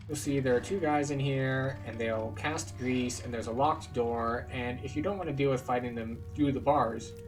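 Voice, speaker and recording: A man's voice: mid-range voice, distant mic. Easygoing man guiding a game walkthrough. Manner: relaxed, explanatory tour-guide talk. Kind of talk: casual gameplay commentary.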